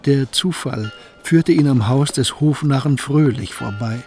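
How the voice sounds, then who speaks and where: A man tells a historical tale in a calm, measured voice of middle pitch. Soft music plays faintly beneath his narration. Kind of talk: scripted narration of a radio play.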